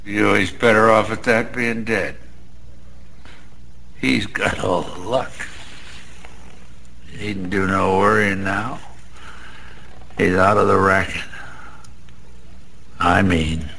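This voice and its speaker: A man's voice: low voice, level tone, takes it slowly, gravelly, pauses often, pushed and bright, slightly rough, exaggerated voice. An actor playing a bitter, sentimental, down-on-his-luck American small-time gambler in a radio drama, talking in rambling, world-weary slang. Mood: bitter, mournful. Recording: faint background noise.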